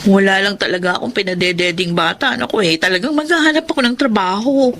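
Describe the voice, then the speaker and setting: A woman's voice: medium pitch, quick pace, fairly bright tone, close to an everyday voice. A woman playing a weary, exasperated young wife struggling with poverty in a Filipino radio drama, with a plaintive delivery. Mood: downhearted. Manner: plaintive, long-suffering. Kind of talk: Filipino radio drama acting and first-person narration.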